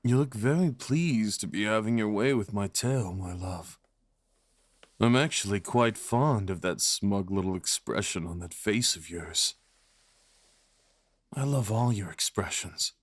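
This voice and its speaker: A man playing a devoted, possessive fox spirit husband in an intimate ASMR roleplay, rambling softly and tenderly with murmured endearments. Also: fairly low pitch, a little slow, dry recording. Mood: doting, playful.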